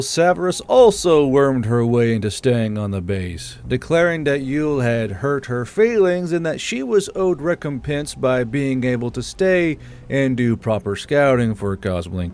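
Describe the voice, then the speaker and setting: A man's voice: mid-range voice, a little mellow. A man who hosts a story channel, speaking as the narrator of fantasy audiobook tales.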